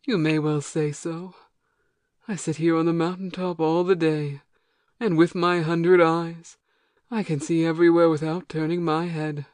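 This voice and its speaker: A woman playing a self-assured, hospitable male giant watchman with a hundred eyes, read in a measured, formal way for an amateur audio play. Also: deep voice, flat delivery, darkish tone, no room sound, clean studio sound. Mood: friendly, curious.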